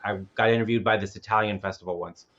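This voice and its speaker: A man's voice: on the low side, steady tone, fast, fairly bright tone, highs cut off. A man speaking casually as a filmmaker and festival organizer on a panel, rambling and often repeating words as he goes.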